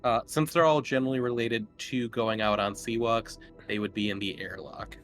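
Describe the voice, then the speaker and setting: A man acting as game master, narrating and explaining casually in a halting, hesitant way. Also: mid-range voice, fairly even intonation, a bit bright. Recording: faint music under the voice. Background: faint music.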